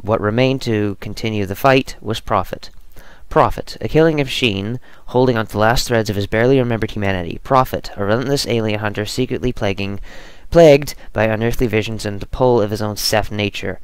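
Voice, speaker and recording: A man hosting a gaming video, reading scripted narration or casually commenting, with a creaky, smooth voice. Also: on the low side, brisk, dry recording.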